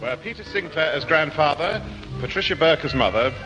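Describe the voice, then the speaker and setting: A man's voice: fairly high pitch, lively intonation, a little fast, over music. A man reading cast credits as a formal 1960s radio announcer. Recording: muffled, echoey 1960s radio recording.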